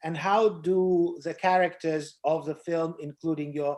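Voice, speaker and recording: A man's voice: a little high, steady tone, normal speed, a little mellow. A man moderating an online discussion over a video call, speaking hesitantly with many fillers and restarts. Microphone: computer mic on a video call.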